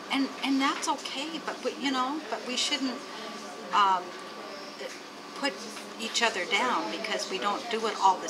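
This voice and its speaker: Animated woman chatting with laughter. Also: mid-range voice, heavy background noise. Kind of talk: casual conversation.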